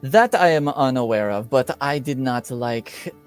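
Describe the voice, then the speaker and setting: A man's voice: medium pitch, animated, clean tone, faint music behind, close to an everyday voice. Man acting as a proud, aggrieved nobleman father. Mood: measured, formal. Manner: formal, measured, indignant. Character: proud aggrieved nobleman father, admitted member of assassin guild. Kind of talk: improvised tabletop roleplay.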